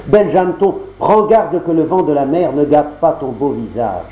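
A man's voice: a little high, animated, moderate pace, dark tone, close to an everyday voice. A man voicing a solemn elder brother giving a sing-song warning, in an echoey room.

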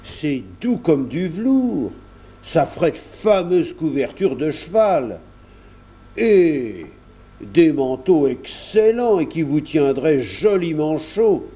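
A man's voice: fairly high pitch, big pitch swings, takes it slowly, mellow, voice pushed up. A man voicing a hearty, good-natured countryman in his late thirties who talks in folksy exclamations for a storybook. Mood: amazed. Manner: exclamatory rustic talk with folksy turns.

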